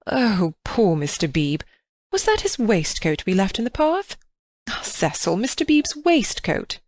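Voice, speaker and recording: An excitable genteel mother, exclaiming and fretting over every shock, as a woman reader plays her. The storybook lady has a fluttery, fussing way of talking. The voice sounds close and dry. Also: medium pitch, unhurried, no room sound, voice pushed up. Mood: fretful.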